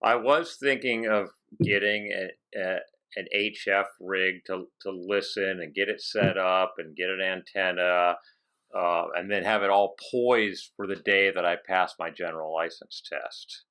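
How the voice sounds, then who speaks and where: Bright, low-pitched male interviewer. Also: steady tone, measured pace, smooth. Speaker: interviewer, show host. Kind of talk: casual interview chat.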